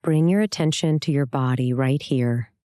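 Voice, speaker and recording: A meditation instructor guiding a scripted practice in a calm, soothing, gentle way, leaving long pauses. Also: mid-range voice, smooth, no echo, clean studio sound.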